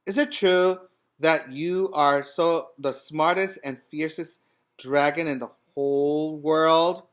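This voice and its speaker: A man voicing a bold, cunning young princess who flatters and goads, emphatically, in a children's storybook. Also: fairly high pitch, takes it slowly. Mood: challenging.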